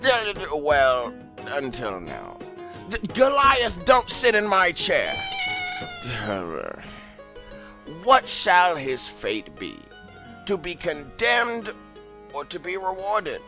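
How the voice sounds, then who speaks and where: Grandiose afterlife tavern storyteller, swooping pitch, slow and lofty, voiced by a man. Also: huge pitch swings, pushed and bright, soft background music, heavily acted. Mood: theatrical. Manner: grandiose, sweeping delivery. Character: theatrical male keeper of tales in an afterlife tavern. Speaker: narrator.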